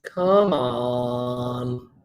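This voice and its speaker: A man's voice: medium pitch, extremely slow, echoey room. A British man teaching a live online chemistry lesson, playful and enthusiastic, joking with his pupils.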